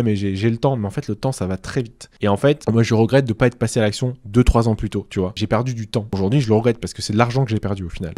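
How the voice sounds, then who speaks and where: A man's voice: medium pitch, dark tone, dry recording, clean studio sound. A man in his thirties hosting a real estate training channel, giving direct advice to camera in a persuasive way, speaking straight to the viewer and using rhetorical questions. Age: 30s.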